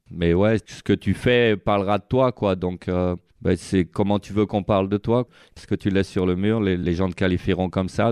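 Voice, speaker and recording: A man's voice: deep voice, a little mellow, clear, resonant tone. A man being interviewed as a street artist, talking casually and rambling with many fillers. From France.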